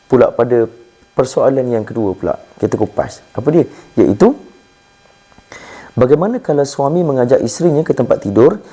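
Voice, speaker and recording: A Malaysian man preaches in an informal, conversational way, explaining points like a teacher. His voice is very mellow and dark, at an ordinary male pitch. It is slightly hoarse, as from a cough. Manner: conversational and didactic, asking and answering own questions. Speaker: Islamic preacher. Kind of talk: informal religious lecture.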